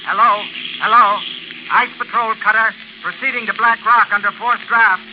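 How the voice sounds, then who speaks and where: A man's voice: sits high, fairly even intonation, moderate pace. A man playing an urgent patrol ship radio operator calling over a transmission in a 1930s radio drama. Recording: muffled, static-laden 1930s radio recording.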